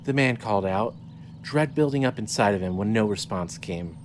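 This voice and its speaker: A man reading scripted dramatic fiction as narrator, in a measured, descriptive storytelling manner. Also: fairly low pitch, expressive, a little hiss or hum.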